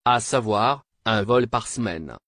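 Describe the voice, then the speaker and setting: A man's voice: lowish voice, measured pace, a bit bright, no echo. Man reading news, dry close recording. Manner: formal, reading written copy. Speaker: news narrator. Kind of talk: scripted news narration.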